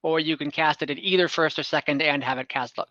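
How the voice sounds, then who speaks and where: A man's voice: fairly high pitch, fairly quick, sharp tone, no echo, muffled recording. A man acting as game master of an online tabletop game, explaining rules with many hesitations and fillers. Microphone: computer mic on a video call.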